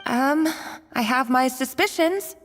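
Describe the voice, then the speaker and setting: A woman's voice: sits high, slow, a bit bright, long reverb tail, faint music behind. An actress playing a timid, guilt-ridden young woman cook in a video game, hesitant and apologetic. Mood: earnest. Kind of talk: video game dialogue acting.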